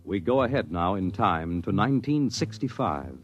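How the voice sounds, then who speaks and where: Deep dramatic radio announcer over loud music. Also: male voice, expressive. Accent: American. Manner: dramatic, solemn, measured. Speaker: announcer and narrator. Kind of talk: 1950s radio drama announcing, scripted narration. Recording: muffled old radio broadcast with music.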